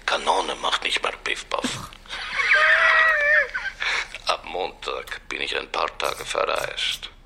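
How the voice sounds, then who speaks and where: An actor playing a crude, amorous Russian gangster murmuring intimately and bluntly on the phone, gravelly and breathy, with broken grammar. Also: male voice, extremely low pitch, slow pace, pauses often, noisy background, heavily acted. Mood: sultry, secretive.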